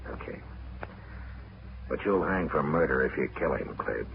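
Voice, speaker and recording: Calm steady marshal, real deep voice, hissy thin old radio. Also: male voice, creaky, highs cut off, exaggerated voice. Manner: calm, measured, commanding. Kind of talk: 1950s radio drama acting.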